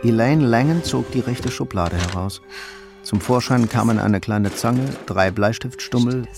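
A man's voice: medium pitch, a little slow, creaky, music in the background. A man narrating a crime radio play in a cool, matter-of-fact, dry way.

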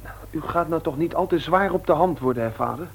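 A man's voice: a little high, quick pace, slightly rough, rough recording. A man playing an insolent, rebellious young son in a radio play, flippant and cool, sometimes hesitant. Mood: flippant, impatient. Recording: old radio play recording.

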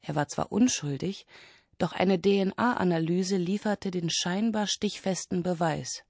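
A woman's voice: on the low side, a little slow, a little mellow, dry recording, studio quality. A woman doing a scripted literary reading for radio. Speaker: reader of excerpts and summaries.

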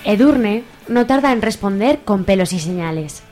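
A Spanish woman narrates a radio serial from a script. Her voice is mid-pitched, with faint music playing behind it. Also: animated, moderate pace.

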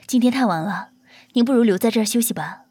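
A woman's voice: on the high side, fast, warm tone, husky, dry recording. A woman playing a courteous, protective celebrity manager in an audio drama, with a formal, polite and composed manner. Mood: businesslike.

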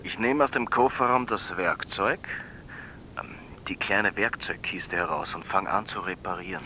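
Young viennese student phoning a radio show, serious and insistent, crisp forceful tone, slight background noise. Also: male voice, low-pitched, normal speed. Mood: earnest storytelling. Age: young adult. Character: earnest, insistent young medical student caller. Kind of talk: radio drama acting, dream storytelling.

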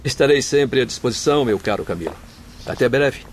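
An actor in a radio soap playing a noble, devoted doctor's spirit, speaking with formal, heartfelt solemnity. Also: male voice, mid-range voice, animated, fairly quick, a little hiss or hum, lightly acted. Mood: grave, kindly. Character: noble grieving Spanish doctor spirit, devoted forgiving husband. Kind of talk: radio soap opera acting.

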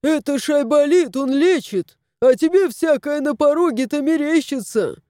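A woman's voice: high voice, normal speed, warm tone, no room sound, studio quality, pitched above the performer's own voice, lightly acted. A woman voicing a weepy little house spirit. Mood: fretful. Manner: wailing, pleading. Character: tearful little bearded male house spirit.

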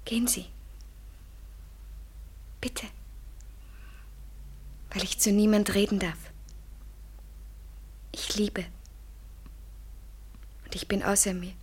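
Young woman in love, radio drama: slow, breathy, feverishly intense, poetic. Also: medium pitch, a little mellow. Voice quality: breathy, often near whisper. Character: young woman consumed by ecstatic, desperate love. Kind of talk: German literary radio play acting. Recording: 1970s radio drama studio recording.

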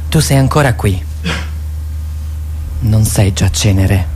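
A solemn man in a radio play. His fairly low voice moves very slowly and gravely. A clear vehicle-like rumble surrounds it. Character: grave, slow man reassuring a lost woman. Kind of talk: theatrical radio drama acting.

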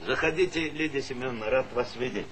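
An actor playing a middle-aged village boss, paternal yet impatient, in a theatrical comedy style. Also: male voice, mid-range voice, fairly quick, a bit bright, close to an everyday voice. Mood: placating. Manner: folksy, commanding, repeats himself impatiently. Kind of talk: theatrical comedy stage acting. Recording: noisy 1970s stage play recording.

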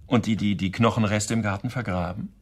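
A man's voice: lowish voice, steady tone, fairly quick, lightly acted. A man playing a sceptical police inspector in a German crime radio play, questioning with dry sarcasm. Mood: accusing.

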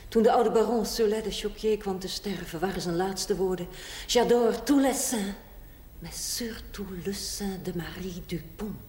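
An actress playing a worldly, unrepentant older woman in a television drama, speaking deliberately and theatrically with dry sarcasm. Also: medium pitch, natural voice. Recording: echoey hall with steady hiss.

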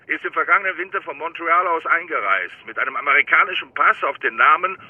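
An actor playing a chatty, teasing police lieutenant heard through a telephone filter. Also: male voice, on the high side, animated, fast talker. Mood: wry, relishing. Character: chatty, wry male police lieutenant, teasing old colleague. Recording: narrow, raspy telephone line.

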